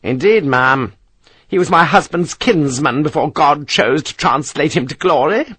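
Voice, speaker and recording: A man putting on a shrill, bossy Englishwoman. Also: a little slow, fairly bright tone, slightly rough, no echo. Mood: imperious. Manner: bossy, scolding, bellowing. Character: domineering stout Englishwoman, shrill and imperious.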